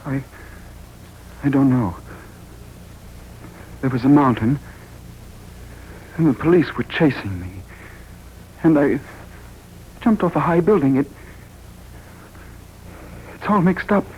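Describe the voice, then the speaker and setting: Dazed, slow, frightened patient. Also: male voice, medium pitch, animated. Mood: agitated, confused. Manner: agitated, halting, overwrought delivery. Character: dazed, frightened male accident patient with lost memory. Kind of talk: 1940s radio drama acting. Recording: muffled, hissy 1940s radio recording.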